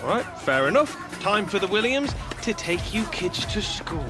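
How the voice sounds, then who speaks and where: A man's voice: high-pitched, sing-song, moderate pace, music in the background. An actor playing a cheeky young footballer who teases his best mate with a British accent in a game cutscene. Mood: playful banter. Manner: mocking, playful banter. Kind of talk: video game cutscene acting, bantering.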